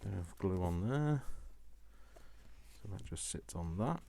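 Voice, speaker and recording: A man's voice: deep voice, expressive, slow pace, vocal fry, dark tone, slight background noise. A British man hosting a casual craft tutorial, chatting in a rambling, jokey way as if to himself. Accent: British English.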